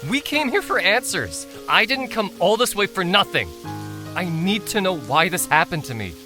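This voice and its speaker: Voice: mid-range voice, sing-song, moderate pace, over music, natural voice. An actor in an audio drama playing a determined, earnest young human who insists on answers. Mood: insistent.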